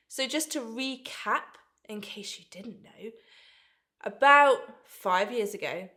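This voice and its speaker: A bunged-up woman in her thirties talks through a sewing box unboxing. Her voice is nasal and congested from a cold, and her delivery is bright and enthusiastic, like easy friendly chat. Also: medium pitch. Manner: chatty and enthusiastic. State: blocked nose from a cold. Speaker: sewing subscription box owner. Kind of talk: casual unboxing vlog.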